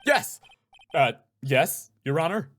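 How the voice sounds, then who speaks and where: A man's voice: on the high side, animated, slow, fairly bright tone. A man voicing a nervous young rookie defense lawyer in a video game, stammering and flustered. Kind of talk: dramatic video game dubbing.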